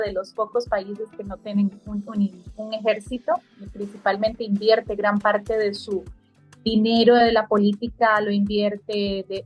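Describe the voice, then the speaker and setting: A middle-aged woman from Costa Rica, a guest realtor, chatting in an effusive, slightly halting way. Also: mid-range voice. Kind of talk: casual interview chat.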